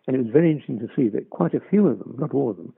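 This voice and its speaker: An older actor reminiscing in an interview, hesitant with many restarts and chuckles. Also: male voice, mid-range voice, expressive, very fast, vocal fry, husky, no room sound. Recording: dry, tinny phone-like line.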